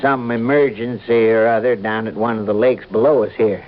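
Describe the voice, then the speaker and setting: A man's voice: fairly low pitch, fairly even intonation, moderate pace, close to an everyday voice. Actor as a folksy fishing-camp man, muffled recording. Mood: folksy, apologetic. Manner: folksy, amiable, rambling. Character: folksy, genial lakeside fishing-camp proprietor. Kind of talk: old-time radio drama acting. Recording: dull vintage radio recording.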